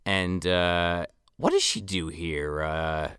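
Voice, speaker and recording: A man's voice: low-pitched, steady tone, takes it slowly, fairly bright tone, clear, resonant tone, dry recording, clean studio sound. A man co-hosting a podcast, recapping movie scenes with wry, profane jokes. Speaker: podcast co-host. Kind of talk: casual movie chat.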